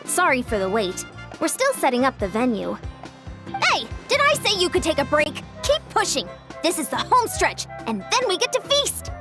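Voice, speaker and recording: A woman playing a spirited, diligent young female coach in a video game, upbeat, energetic and earnest. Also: high voice, moderate pace. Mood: peppy, bossy. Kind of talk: scripted video game voice acting. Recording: music behind the voice.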